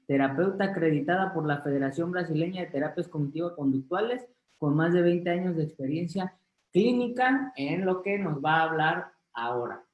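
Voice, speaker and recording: A man's voice: fairly high pitch, darkish tone, echoey room, rough recording. A man moderating an online conference, introducing the speaker politely with many filler words. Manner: courteous, filler-heavy. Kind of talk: formal introduction.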